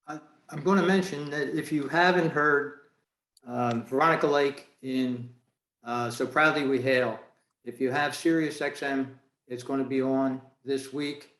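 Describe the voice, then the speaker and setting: A man's voice: medium pitch, steady tone, measured pace, fairly bright tone. Guy on a video call, echoey room, talks casually, stops and starts, earnest. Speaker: club member. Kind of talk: casual chat.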